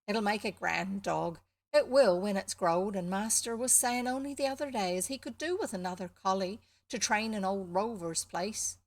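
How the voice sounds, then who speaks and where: A woman's voice: mid-range voice, normal speed, close to an everyday voice. Woman voicing a good-natured West Country farm boy. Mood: eager, proud. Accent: English West Country. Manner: rustic dialect grammar, self-important delivery. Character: good-natured rustic farm boy, self-important, eager.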